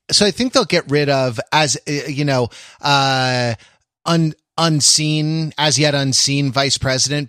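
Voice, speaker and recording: Halting man chatting on a podcast. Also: medium pitch, a bit bright, no echo, clean studio sound. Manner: halting, hedging, thinking aloud. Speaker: podcast host. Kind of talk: casual discussion of a TV show.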